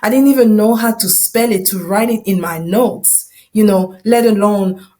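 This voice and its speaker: A woman's voice: medium pitch, normal speed, mellow. A woman, an English speaker, telling a personal story to listeners as a podcast host in a conversational way, often checking in as she goes. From Democratic Republic of the Congo. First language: not English. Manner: conversational, checking in with "right?" and "you know". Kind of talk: personal testimony told to listeners.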